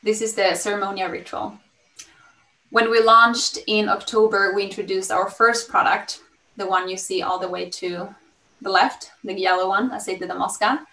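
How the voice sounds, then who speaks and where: A woman in her thirties with a Swedish accent pitches her company over a video call, upbeat and fluent with the odd um. Her voice sits at a middle pitch and carries a roomy echo. She sounds a little far from the mic. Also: fairly even intonation, normal speed, bright, forceful tone. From Sweden. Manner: upbeat, fluent, with frequent ums. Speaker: startup founder pitching. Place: home room, indoors. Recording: roomy and slightly distant.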